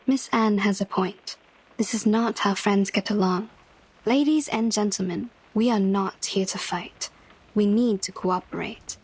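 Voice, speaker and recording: A woman voicing a stern, composed and quietly menacing authority figure, measured and commanding, in a video game. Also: medium pitch, husky, rough recording. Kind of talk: dramatic video game voice acting.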